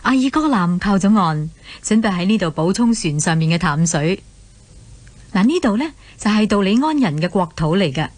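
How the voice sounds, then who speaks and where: A woman with a Hong Kong accent tells a scripted myth as a radio drama narrator. Her pitch is medium and the sound is dry, with no room echo. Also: a little mellow.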